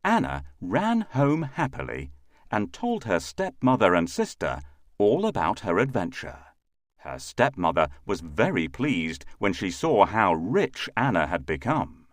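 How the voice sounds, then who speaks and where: A man reads a children's fairy tale as a storyteller. His voice is fairly low, with huge swings in intonation and a slow pace, recorded dry and close. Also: wildly swooping pitch, no echo.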